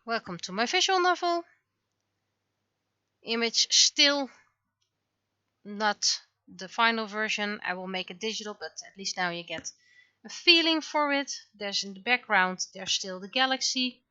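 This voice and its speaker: A woman's voice: mid-range voice, animated, measured pace, sharp tone, clear, resonant tone, no room sound, lo-fi sound. A woman giving casual livestream commentary as she shows her own creative work. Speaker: livestreamer, webcomic and visual novel creator.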